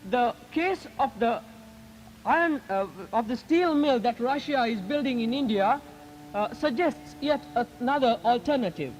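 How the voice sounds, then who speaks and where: A man's voice: very high voice, lively intonation, measured pace, a little hiss or hum, low-quality recording. A teenage male youth delegate, giving an earnest, declamatory formal speech in a 1950s archival recording. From Sri Lanka. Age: teens. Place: public speaking event.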